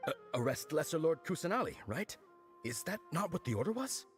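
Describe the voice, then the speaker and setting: A man's voice: on the high side, sing-song, fairly quick, soft background music. An actor playing a timid, deferential male guard in a video game, formal, apologetic and prone to stammering. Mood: nervous.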